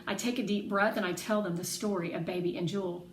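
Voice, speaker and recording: A woman reading a children's novel aloud in an expressive, animated way, acting out the dialogue. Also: mid-range voice, quick pace. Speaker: read-aloud narrator. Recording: roomy echo, faint background noise.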